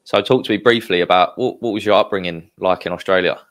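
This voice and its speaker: Man, low voice, podcast host, friendly prompting questions. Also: a bit bright. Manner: friendly, prompting, quick affirmations. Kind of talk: casual interview chat.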